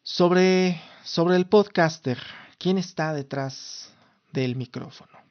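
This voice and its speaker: A man's voice: on the high side, slow pace, lo-fi sound. A Mexican man in his thirties from Mexico City, hosting his own podcast in a formal, lawyerly way with frequent restarts. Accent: Mexican Spanish. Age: 30s. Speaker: podcast host. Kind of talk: casual solo podcast talk.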